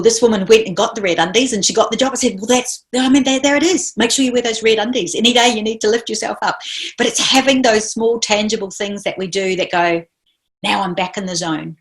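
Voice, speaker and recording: A middle-aged woman from New Zealand with a hybrid New Zealand and Australian accent. She gives a motivational talk as guest speaker, telling lively stories, acting out quoted dialogue and laughing often. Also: low voice. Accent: New Zealand mixed with Australian.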